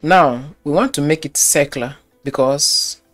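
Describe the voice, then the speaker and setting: A man's voice: on the high side, unhurried, fairly bright tone, faint music behind. A man walking through a website-building tutorial step by step, repeating key phrases as he clicks through the screen. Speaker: web design tutor. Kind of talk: screen-recorded software tutorial.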